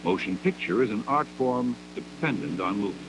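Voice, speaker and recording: A man narrates a scripted 1960s educational film in a measured, didactic manner, with deliberate phrasing, on an old 16mm soundtrack. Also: mid-range voice, expressive, background noise.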